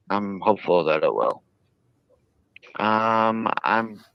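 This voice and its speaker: A man giving unscripted interview answers in a halting, earnest, wordy way, often repeating himself, on muffled audio. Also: low-pitched, smooth, dry recording. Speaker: jailed interviewee. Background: played within a live stream.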